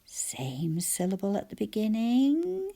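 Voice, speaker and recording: A woman with a soft, often whispered voice teaching spelling and sounding out letters one by one. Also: mid-range voice, wildly swooping pitch, gravelly. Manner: slow, soft-spoken, sounds out each letter.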